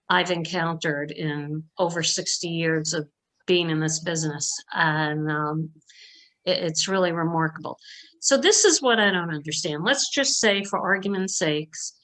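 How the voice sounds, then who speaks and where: Plainspoken older woman, slightly low voice. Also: a bit bright, rough recording. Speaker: donor asking questions at an online meeting. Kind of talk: informal discussion at a virtual meeting.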